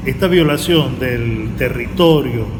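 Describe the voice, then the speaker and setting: A Venezuelan man, a university lecturer, giving his opinion in a panel interview in a halting, repetitive way. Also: mid-range voice. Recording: very echoey hall with loud noise.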